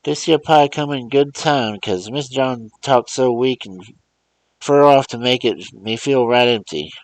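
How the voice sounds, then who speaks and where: A man's voice: mid-range voice, measured pace, dry recording, voice pushed up, natural voice, rough recording. A man voicing an old male servant who rambles in a drawling, superstitious way. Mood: contented. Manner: drawling dialect speech, wondering. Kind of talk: audiobook character voice.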